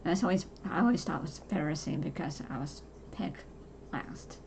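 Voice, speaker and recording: A soft-voiced woman in her forties, talking casually and haltingly about her own life, with many fillers and restarts. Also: low voice, gravelly, a little hiss or hum. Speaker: podcast host. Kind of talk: casual personal talk to camera.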